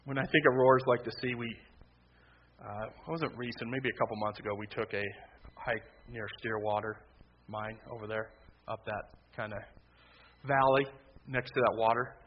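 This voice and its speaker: Conversational man preaching with a flat delivery. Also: normal speed. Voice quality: loud voice. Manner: conversational preaching with rising emphatic bursts. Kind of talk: sermon. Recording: slightly dull low-fi sound with faint background noise.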